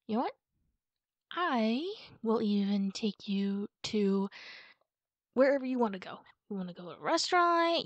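A woman speaking casually and haltingly in a comforting ASMR roleplay, as a reassuring friend talking to the listener. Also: mid-range voice, dry recording, dull audio. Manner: reassuring, informal, halting with pauses. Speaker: ASMR roleplay performer playing a supportive friend.

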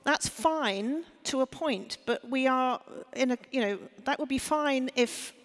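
A woman's voice: sits high, unhurried. A woman speaking on a panel discussion, thinking aloud with restarts and checking she is understood. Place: large hall on stage. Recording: hall reverb. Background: hall reverb.

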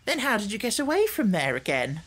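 A man's voice: high-pitched, wildly swooping pitch, brisk, a bit bright, pitched above the performer's own voice, full character voice. A man voicing a fussy, anxious, questioning talking hen for a storybook. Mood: curious. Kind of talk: audiobook character voice.

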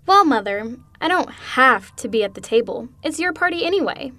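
A woman's voice: high voice, expressive, moderate pace, sharp tone, dry recording. A woman playing a timid, dutiful young society daughter in a radio drama. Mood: reluctant, plaintive.

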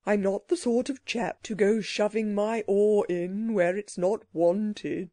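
A woman acts out a small, ancient magical creature from a storybook. It is bossy and grumpy, snapping out its words in an imperious, sulky way, with a soft-edged tone and very little room around it. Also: fairly high pitch, expressive, slow, no echo, studio quality. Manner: grumpy, imperious, clipped exclamations.

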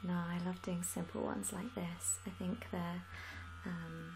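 A young woman speaking gently and softly in an ASMR roleplay, often slipping into a whisper. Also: lowish voice, fairly even intonation, unhurried, noisy background. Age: young adult. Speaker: ASMR creator playing a tattoo artist. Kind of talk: soft-spoken ASMR roleplay. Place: small room indoors.

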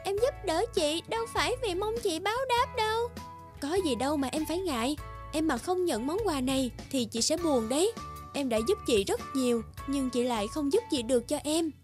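A woman voicing a gentle, generous young fairy in an animated fairy tale, caring and reassuring like a big sister. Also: high-pitched, normal speed, over music.

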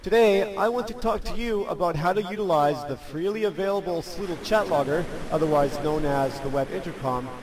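A man narrating a screencast software tutorial as its presenter, addressing viewers in a presenterly way. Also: high-pitched, low-quality recording.

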